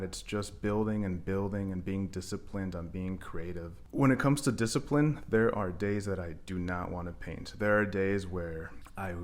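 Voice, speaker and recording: A man from Mexico, raised in Milwaukee, speaking as an artist in a reflective interview, earnest and wordy in his phrasing. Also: deep voice. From Cancun, Mexico, raised in Milwaukee. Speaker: live painter and visual artist. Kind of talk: reflective interview monologue about their work.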